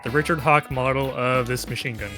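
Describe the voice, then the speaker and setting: A man's voice: mid-range voice, steady tone, brisk, poor audio. A man giving casual live commentary while speedrunning a video game. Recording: commentary over loud game music.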